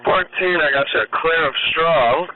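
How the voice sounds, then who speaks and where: A man's voice: a little high, measured pace. A man talking as a field unit in short exchanges over a two-way radio. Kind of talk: radio chatter. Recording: very noisy, tinny, clicking scanner radio.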